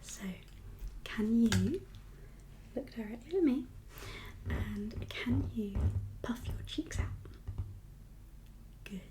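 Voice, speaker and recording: A woman whispering as a doctor in an ASMR exam roleplay, giving gentle, reassuring instructions in a breathy voice. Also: on the high side, expressive, slow, pauses often, background noise. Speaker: ASMR performer playing a doctor.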